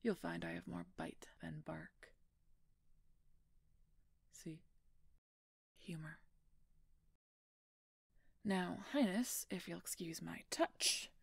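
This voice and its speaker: A low, heavily gravelled female werewolf knight, acted by a woman for a fantasy roleplay audio. Her husky voice is dry and stern, with a sardonic, teasing edge as she guards a royal charge and speaks straight to the listener. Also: extremely slow, pauses often, no room sound, exaggerated voice. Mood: stern, grudging.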